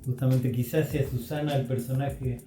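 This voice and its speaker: A man's voice: medium pitch, steady tone, moderate pace, mellow. An Argentine man speaking in casual conversation. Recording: roomy echo, faint music.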